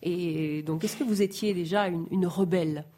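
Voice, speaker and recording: A woman's voice: lowish voice, normal speed, mellow. A woman interviewer asking long, formal questions full of small hesitations.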